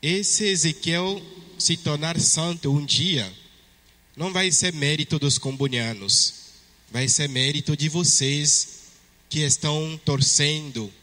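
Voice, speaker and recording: A man from Togo with a French-speaking West African accent, a priest reading the Gospel and preaching at Mass in a measured way. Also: fairly high pitch, bright, forceful tone. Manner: slow, measured liturgical delivery. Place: church. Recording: long church reverb.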